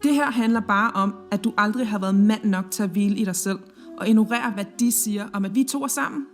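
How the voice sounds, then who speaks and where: Denmark turned into a jealous, possessive girlfriend, acted by a woman in an audio drama. She fires off her lines fast and accusingly, at a middling pitch, with soft guitar under the scene. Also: close to an everyday voice. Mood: angry. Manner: accusing, quick-tongued. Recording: background guitar music.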